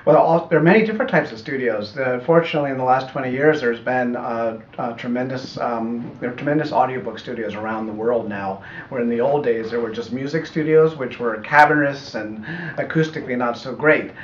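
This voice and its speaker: A middle-aged American man explaining his audiobook work in a measured, thoughtful way during an interview. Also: mid-range voice, fairly even intonation. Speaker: audiobook producer being interviewed. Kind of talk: conversational interview answers. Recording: echoey room, muffled, faint noise.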